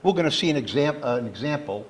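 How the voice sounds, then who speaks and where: A man with a husky, slightly rough voice gives an explanatory lecture. He speaks at a middle pitch, laying out definitions deliberately and one step at a time, like an engineer teaching a general audience. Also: expressive. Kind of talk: educational public lecture, explanatory. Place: talk given live to an audience.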